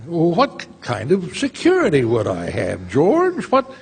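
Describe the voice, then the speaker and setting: An actor playing a cold, cruel old male banker, with a husky, sneering drawl. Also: fairly high pitch, wildly swooping pitch, unhurried, full character voice. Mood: menacing. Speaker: radio actor. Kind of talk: live radio drama acting. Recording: theater stage with long reverb.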